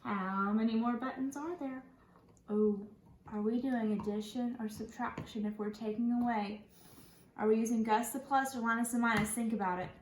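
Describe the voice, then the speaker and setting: A woman schoolteacher reading a picture book to young children in an animated, sing-song way, pausing to put questions to them. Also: fairly high pitch. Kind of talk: read-aloud picture book lesson for children. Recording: echoey room, faint background noise.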